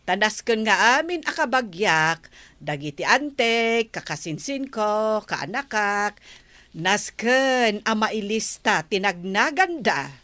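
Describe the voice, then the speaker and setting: A woman's voice: mid-range voice, expressive, takes it slowly, pushed and bright, no echo. A woman playing a self-satisfied village official in a comedy radio drama, with a drawling manner. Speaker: actor in an Ilocano comedy drama.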